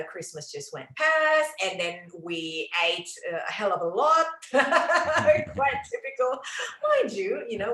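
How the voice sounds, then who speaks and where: A woman's voice: mid-range voice, fairly bright tone. A middle-aged woman with an Indonesian accent hosting a casual interview and chatting as a relationship coach. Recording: roomy, echoing sound.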